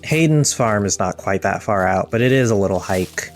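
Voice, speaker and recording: A man narrating as game master of a tabletop game, describing scenes in an unhurried way. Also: on the low side, lively intonation, soft background music.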